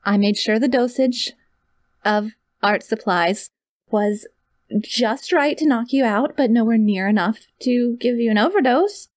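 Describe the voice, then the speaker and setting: A sweet, clingy yandere kidnapper played by a woman, with a high voice recorded very dry and close. She rambles in an awkward, adorkable, gushing way, as in an ASMR audio roleplay spoken to her captive. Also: measured pace, a little mellow, no room sound, close to an everyday voice. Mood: excited, doting.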